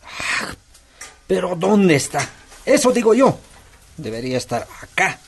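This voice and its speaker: An actor as a slow, gravelly, grouchy husband. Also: male voice, sits high, sing-song, full character voice. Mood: grumbling. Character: grumbling, sluggish, grouchy husband. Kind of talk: radio soap acting.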